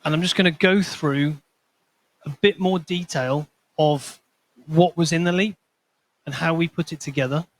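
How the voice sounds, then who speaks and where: A man's voice: a little high, steady tone, normal speed, no echo, low-quality recording. Man giving a conference talk. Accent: not Scottish. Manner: explanatory, storytelling with light humor. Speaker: conference speaker, consultancy manager. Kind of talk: presentation to a conference audience.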